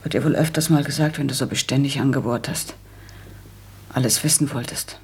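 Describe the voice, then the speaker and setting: Very husky, sensible young widow. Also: female voice, very deep voice, brisk, a little mellow, full character voice. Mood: reproving, indignant. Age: young adult. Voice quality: husky, creaky. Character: young widowed daughter, sensible, proud, firm. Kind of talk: German radio play acting.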